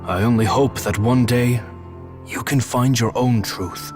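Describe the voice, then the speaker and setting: Man acting a wistful male magician, mid-pitched, gentle, solemn, game dialogue over music. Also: measured pace, lightly acted. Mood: wistful, reassuring. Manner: solemn, philosophical.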